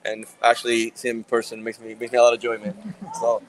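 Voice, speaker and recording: A man with a Puerto Rican accent co-hosting a podcast at a live event, playful and quick to joke and laugh. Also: medium pitch, completely flat delivery, low-quality recording. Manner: playful, joking, laughs often. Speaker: podcast co-host. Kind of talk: casual chat at a live event.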